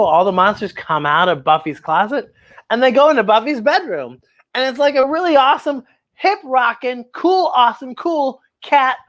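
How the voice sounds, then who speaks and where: A woman's voice: on the high side, fairly bright tone. A woman hosting children's story time, reading a picture book aloud and exclaiming directly to young viewers.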